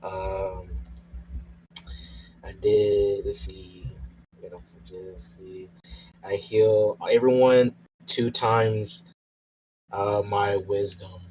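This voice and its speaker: A man's voice: lowish voice, fairly even intonation, extremely slow, pauses often, darkish tone. A man playing a tabletop roleplay game, chatting casually as he works out his turn. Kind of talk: casual game-session chat. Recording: noisy home mic, background ambience.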